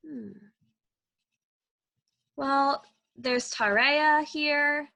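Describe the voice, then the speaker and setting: A young woman co-hosting a children's read-aloud on a video call, teaching with enthusiastic questions in an animated way. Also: high-pitched, extremely slow, vocal fry.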